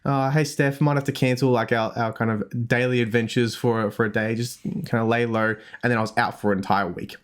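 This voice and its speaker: A man's voice: medium pitch, fairly even intonation, a little fast, few pauses. A young man with an Australian accent, chatting casually on a health podcast, rambling with self-deprecating asides and frequent restarts. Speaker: dietitian podcast host.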